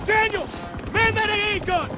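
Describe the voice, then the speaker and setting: An actor playing an excitable infantry soldier in a war video game, calling out urgently. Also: male voice, very high voice, normal speed. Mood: frantic. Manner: urgent, exclamatory. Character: excitable male infantry soldier in the thick of battle. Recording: loud music, gunfire and explosions behind.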